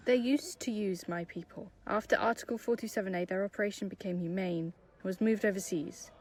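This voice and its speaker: A woman's voice: mid-range voice, a little fast, a little mellow, close to an everyday voice. A woman playing a desperate, earnest young detainee in an audio drama. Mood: subdued. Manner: pleading, earnest.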